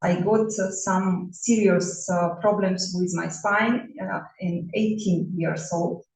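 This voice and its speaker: A woman in her forties from Russia, a bodywork therapist introducing herself in halting non-native English with a Russian accent, full of fillers and restarts. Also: lowish voice, fairly even intonation, a little mellow. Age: 40s. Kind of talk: self-introduction talk. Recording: roomy echo, mic at a distance.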